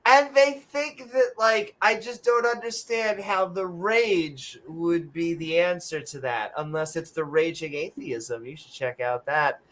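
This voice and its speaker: A woman's voice: on the low side, unhurried, hardly any pauses, rough recording. A woman co-hosting a podcast in casual chat, telling jokey stories and swearing freely. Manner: animated, swearing, joking storyteller. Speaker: co-host.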